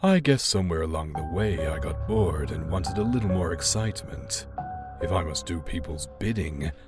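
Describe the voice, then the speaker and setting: A male actor plays a sinister wish-granter in an audio horror drama. His deep male voice is sly and theatrical, toying with his victim like a bored gambler. Loud music runs underneath. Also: expressive, normal speed. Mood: bored, menacing. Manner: smug, taunting, theatrical.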